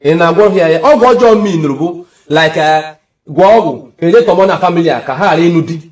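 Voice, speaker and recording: Male interviewer, high pitch, captured away from the mic. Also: lively intonation, fast, a little mellow, distant mic. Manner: asks questions, gives short murmured replies.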